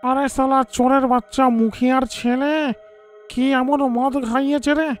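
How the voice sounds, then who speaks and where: পুরুষ অভিনেতার খুব চড়া গলার গ্রাম্য মাতাল. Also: male voice, very high-pitched, level tone, measured pace, faint music behind. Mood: tipsy bluster. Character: crude, rambling male village drunkard.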